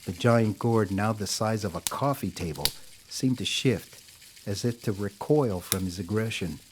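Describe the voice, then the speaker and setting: A male narrator for an audio drama, reading scripted prose. His voice sits on the low side, and he tells the story in a measured, literary way. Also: background noise.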